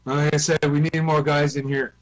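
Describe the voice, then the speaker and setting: A man's voice: fairly high pitch, flat delivery, normal speed, poor audio. A man, easygoing and repetitive, chatting casually in an online voice chat. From Ontario, Canada. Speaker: CB radio hobbyist.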